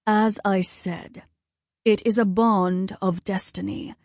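A woman voicing a commanding, cold male war god and father, speaking in a formal, measured way. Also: mid-range voice, steady tone, a little mellow, no echo, studio quality, natural voice. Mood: solemn, commanding.

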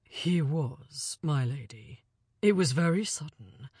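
A woman's voice: sits low, expressive, takes it slowly, husky, no room sound, studio quality, exaggerated voice. Woman doing a grave older male envoy. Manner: grave, hushed, formal. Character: lean older male French envoy, sorrowful and courteous.

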